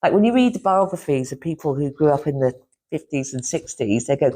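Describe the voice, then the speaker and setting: A woman's voice: low-pitched, lively intonation, a little fast, dark tone. A middle-aged woman from Yorkshire, chatting as an interview guest in a rambling way, with frequent laughs and restarts. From Yorkshire, England. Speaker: interview guest, former publisher and teacher. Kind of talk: casual chat.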